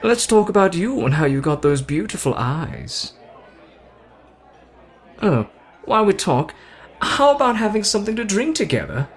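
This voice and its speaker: A man's voice: high voice, big pitch swings, normal speed, long pauses. A man voicing a brash, playful young British show-off who is boastful, teasing and theatrical in an ASMR roleplay. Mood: boastful, charming. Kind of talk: ASMR roleplay addressed to the listener.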